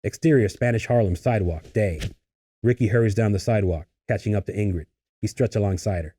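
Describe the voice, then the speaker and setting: A man's voice: fairly low pitch, brisk, warm tone. A man reading stage directions as scripted narration for a table read.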